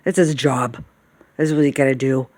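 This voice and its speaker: A woman's voice: rumbling low, fairly even intonation, fairly quick, darkish tone, no echo. A woman in her late fifties rambling through a casual monologue, sighing often and checking in with "okay?" and "all right?". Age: late 50s. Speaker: vlogger.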